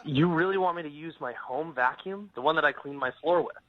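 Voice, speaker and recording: A man's voice: on the high side, fairly quick, no room sound. A man talking casually over the telephone, polite and hesitant, stammering at times. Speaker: temp worker taking a phone call. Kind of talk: casual phone conversation. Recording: muffled, dry phone line.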